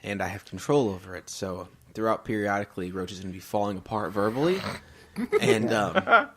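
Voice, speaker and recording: A man's voice: lowish voice, animated, brisk, a bit bright, slightly rough. A man chatting casually as a co-host of an informal podcast. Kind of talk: casual chat.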